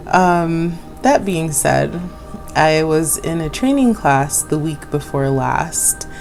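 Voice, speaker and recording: A woman raised in Jamaica hosts her own vlog in a low, deep voice. She talks slowly, gently and affirmingly, with small laughs. Soft music plays faintly behind her. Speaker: channel host. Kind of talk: casual vlog talk.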